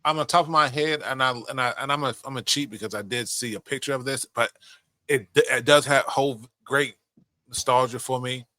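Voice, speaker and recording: A man's voice: medium pitch, moderate pace, bright, forceful tone, dry recording. A man hosting a podcast in casual chat, swearing freely as he talks. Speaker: podcast host.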